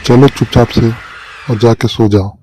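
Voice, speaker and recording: Man doing a flat, tired village husband. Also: medium pitch, unhurried, faint music behind, voice pushed down, lightly acted, poor audio. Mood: irritated. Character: tired, grumpy, dismissive village husband in horror cartoon.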